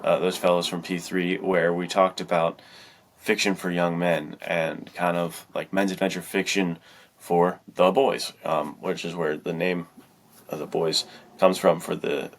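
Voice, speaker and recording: Deep-voiced man, earnest podcast talk. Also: little pitch movement, unhurried. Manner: earnest, reflective. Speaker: podcast co-host and fiction writer. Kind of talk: casual podcast chat.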